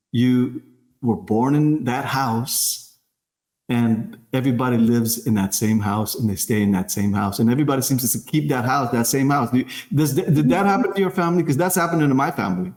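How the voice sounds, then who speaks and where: A man's voice: mid-range voice, moderate pace, a little mellow, reverberant. A man from the Philippines hosting a podcast, asking questions in a chatty way with many fillers. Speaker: podcast host. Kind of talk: casual interview chat.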